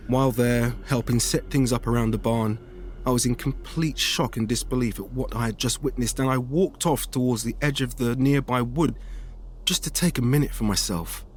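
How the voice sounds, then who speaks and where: A man reading a first-person horror story as scripted narration, in a measured, dramatic storytelling manner. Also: mid-range voice. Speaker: guest story reader.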